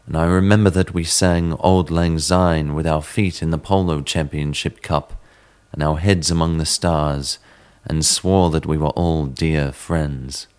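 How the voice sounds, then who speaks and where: Very deep-voiced man narrating a horror story. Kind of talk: dramatic reading of a classic horror story. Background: sitar music.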